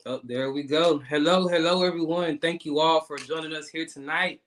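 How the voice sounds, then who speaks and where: A man, hosting an online talk show in a casual, halting way with many repeated words and fillers. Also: fairly high pitch, fairly even intonation, fairly bright tone. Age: 30s. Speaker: guest host.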